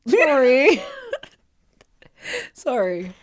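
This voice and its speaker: A woman's voice: sits high, sing-song, extremely slow. A woman co-hosting a podcast, recapping in an informal, opinionated way. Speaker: podcast co-host. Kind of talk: casual chat.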